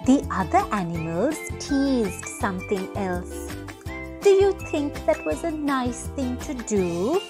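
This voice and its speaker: A young woman reading for children in an expressive, measured way, as a storyteller. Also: fairly high pitch. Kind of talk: scripted children's read-aloud and questions. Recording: music behind. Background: children's music.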